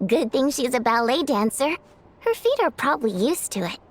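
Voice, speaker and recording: High-voiced, capable young manager. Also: female voice, expressive, normal speed. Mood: composed, determined. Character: capable, matter-of-fact young female station manager and singer. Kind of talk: animated video game voice acting. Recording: faint music behind the voice.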